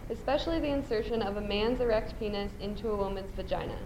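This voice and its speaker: A twenty-year-old woman student giving brisk, candid interview answers. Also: mid-range voice, background noise. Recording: echoey room.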